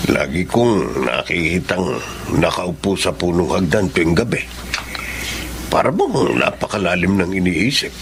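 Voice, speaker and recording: A deep, creaky older man's voice with a Filipino accent plays a thoughtful, worried father. His concerned, measured lines move with expressive melody in radio-drama style. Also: a little hiss or hum, exaggerated voice. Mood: worried, pensive.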